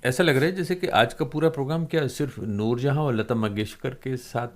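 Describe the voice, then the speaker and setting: A man's voice: medium pitch, fairly quick. An older man hosting a conversational interview, reminiscing at length in literate, discursive phrasing. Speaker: podcast host and interviewer.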